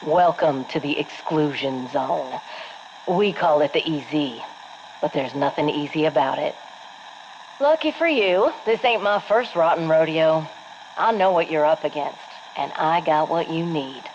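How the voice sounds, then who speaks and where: Brash, low-voiced American Marine sergeant. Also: female voice, expressive, a little slow. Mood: brash, cocky. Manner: sassy, salty sales patter. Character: brash, folksy, swaggering female Marine quartermaster sergeant. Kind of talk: scripted game monologue. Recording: dull, noisy radio log.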